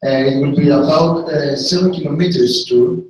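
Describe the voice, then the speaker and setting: Man, halting speech with fillers, slightly high voice, very echoey room, heard from a distance. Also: over music. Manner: halting, many fillers and restarts. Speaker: tour guide presenting a city tour. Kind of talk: unscripted presentation to a group.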